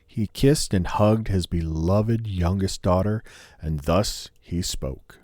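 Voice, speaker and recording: A man narrating a fairy tale as a storyteller, measured and solemn. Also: deep voice, a little mellow, no room sound, clean studio sound.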